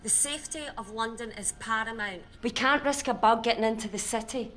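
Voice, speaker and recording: Commanding, high-voiced woman boss played by an actress. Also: brisk, fairly bright tone, a little hiss or hum, lightly acted. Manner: commanding, formal. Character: authoritative female boss, cool and controlling. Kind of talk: live-action film acting.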